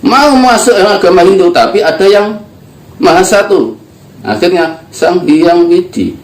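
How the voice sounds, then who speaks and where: Older man, spiritual teacher, earnest emphatic delivery, slightly high voice, roomy echo. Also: expressive, measured pace. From East Java, Indonesia. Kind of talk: religious teaching talk. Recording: echoey room.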